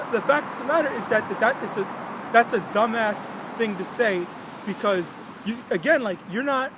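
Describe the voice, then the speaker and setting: A man's voice: high-pitched, noisy background. A young man speaking in a casual monologue. He rambles, restarts, and keeps asking "okay?". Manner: rambling, repeats words, many fillers and "okay?" checks. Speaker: religious vlogger.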